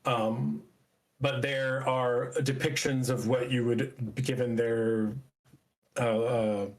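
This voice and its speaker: Slow-talking man running a tabletop game. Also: medium pitch, flat delivery, low-quality recording. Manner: halting, searching for words. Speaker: game master. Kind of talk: improvised narration of a tabletop game.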